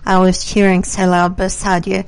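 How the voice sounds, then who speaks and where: A woman co-hosting a local radio talk show, slightly hoarse from cheering, speaking in a wry, argumentative way. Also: mid-range voice, completely flat delivery, normal speed. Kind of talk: casual radio banter. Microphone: studio microphone.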